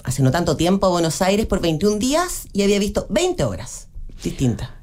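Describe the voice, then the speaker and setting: A woman hosting an interview, asking measured, well-prepared questions that cite facts. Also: medium pitch, sing-song, a little mellow. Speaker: interviewer, host.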